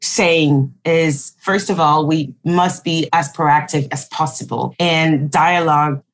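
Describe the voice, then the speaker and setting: A woman from Cameroon, explaining her advocacy work on a panel in a measured way, correcting herself now and then. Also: deep voice, fairly even intonation. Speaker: digital rights advocate. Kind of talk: panel discussion answer.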